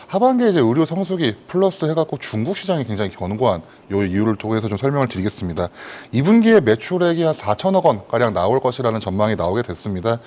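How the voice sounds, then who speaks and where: A man's voice: mid-range voice, a little mellow. A man giving formal, explanatory stock commentary as a guest analyst on a broadcast. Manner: explanatory, formal polite phrasing.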